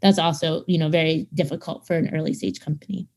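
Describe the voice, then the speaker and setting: A woman's voice: low-pitched, fairly even intonation, fast talker, a little mellow. A woman in her thirties, a startup founder, talking casually in an interview, with many fillers and quoted asides. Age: 30s. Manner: chatty, lots of fillers, acts out quoted people.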